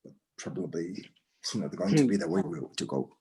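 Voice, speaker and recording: A man playing a solemn elderly rancher turned vigilante in a screenplay read, grave and deliberate, mixing Spanish and English. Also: low voice, expressive, mellow.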